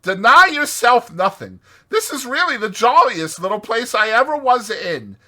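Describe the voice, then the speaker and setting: Man doing a high, hearty storybook rat. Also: big pitch swings, pushed and bright, heavily acted. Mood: jolly, teasing. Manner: exclamatory, brisk old-chap chumminess. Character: hearty, bossy, cheerful rat, a loyal friend.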